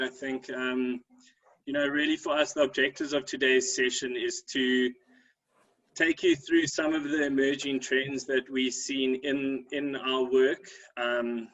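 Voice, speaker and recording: Flat-voiced man presenting over a call. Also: mid-range voice, lo-fi sound. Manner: hesitant, many ums and restarts. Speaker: consultant presenter.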